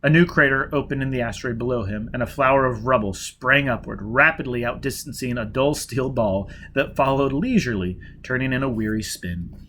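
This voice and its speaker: A man narrating a novel with an expressive, dramatic delivery. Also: mid-range voice, fairly quick, few pauses, a little hiss or hum. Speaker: audiobook reader.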